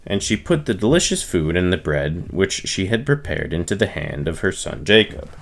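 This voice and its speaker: A man reading the Bible aloud from the text, giving the dialogue expressive emphasis in his own voice. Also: low voice, normal speed, vocal fry, a little hiss or hum. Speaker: reader.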